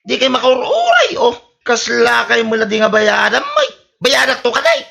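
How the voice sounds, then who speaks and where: A swaggering young town bully with an Ilocano accent, acted by a man for radio comedy drama. He brags loudly in a forceful, sharp voice with a gravelly edge. His pitch swings widely as he boasts. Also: wildly swooping pitch, normal speed, full character voice. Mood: defiant. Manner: swaggering, loud, bragging.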